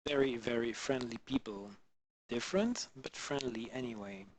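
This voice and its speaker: A man from Belgium chatting casually with his live-stream viewers on a headset mic while modelling, halting and full of fillers. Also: medium pitch, a little hiss or hum, rough recording. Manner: halting, many fillers, answers chat viewers. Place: home in the Alpine region.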